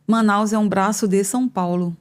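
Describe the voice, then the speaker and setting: Measured woman in her fifties, economics professor. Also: mid-range voice, steady tone. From northern Brazil. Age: 50s. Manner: thoughtful, measured, frequent hesitations and tag questions. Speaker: economics professor, podcast guest. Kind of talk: conversational interview answers. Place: podcast studio.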